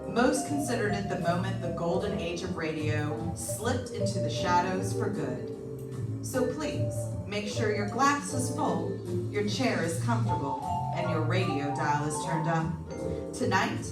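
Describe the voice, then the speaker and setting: Female stage announcer, somewhat low voice, scripted and dramatic, music loud underneath. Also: lively intonation, unhurried. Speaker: host and announcer of a live radio show. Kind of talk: scripted theatrical introduction. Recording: roomy stage, distant mic, loud music behind.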